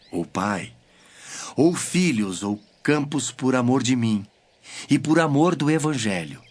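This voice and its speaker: An actor with a Brazilian accent playing a solemn, authoritative male teacher in a measured, declamatory scripture drama. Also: mid-range voice. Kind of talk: dramatized scripture reading in formal Portuguese. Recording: music bed behind the voice.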